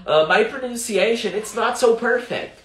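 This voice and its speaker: A man's voice: very high-pitched, a little slow, echoey room. A young Brazilian man with a Brazilian Portuguese accent, teaching English on a livestream with energy and slipping English phrases in. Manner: energetic, mixes in English phrases, gestures a lot. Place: small room at home, indoors.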